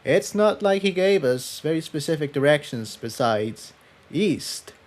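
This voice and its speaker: A man voicing a gentle, shy young female pony in a soft, hesitant storybook voice. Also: on the high side, expressive, a little slow, voice pushed up. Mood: shy, unsure.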